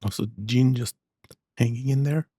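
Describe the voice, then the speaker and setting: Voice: mid-range voice, steady tone, normal speed, warm tone, no echo, studio quality. A streamer giving casual, understated, slightly shy commentary while playing a game.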